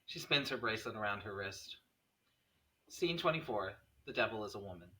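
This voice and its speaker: Bright, raspy male screenplay narrator. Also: fairly low pitch, lively intonation, brisk, poor audio. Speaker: narrator reading stage directions. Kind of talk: scripted narration of a screenplay table read.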